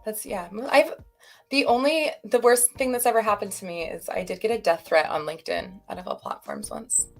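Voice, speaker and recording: A woman's voice: medium pitch, sing-song, a little fast, faint music behind. Chatty, self-mocking woman streamer. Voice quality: chatty, self-deprecating, rambling. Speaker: stream co-host. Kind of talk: casual livestream chat.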